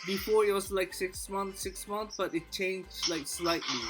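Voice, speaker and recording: A man's voice: sits high, measured pace, music in the background. A man with a Japanese accent, a native Japanese speaker, hosting a casual interview and listening attentively with short acknowledgements. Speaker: interviewer and channel host.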